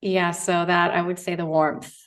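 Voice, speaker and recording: A woman's voice: fairly low pitch, flat delivery, moderate pace. A woman from Australia, a guest dietitian in casual interview chat, bubbly and chatty with frequent laughs.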